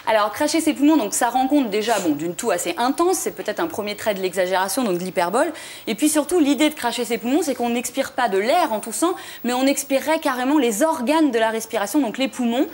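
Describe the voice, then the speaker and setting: A woman's voice: a little high, animated, slight background noise. A young woman with a French accent explaining the meaning and history of words in a TV studio segment, with many "euh" and "alors". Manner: fast, explanatory, frequent "euh" and "alors", light laughs. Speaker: language columnist.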